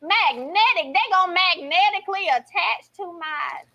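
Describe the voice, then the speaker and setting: A woman's voice: very high-pitched, expressive, bright, forceful tone, muffled recording. A woman from a reality show clip chatting sassily on camera about lashes. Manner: sassy, fast, exclaiming. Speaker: reality TV cast member. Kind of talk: casual on-camera chat.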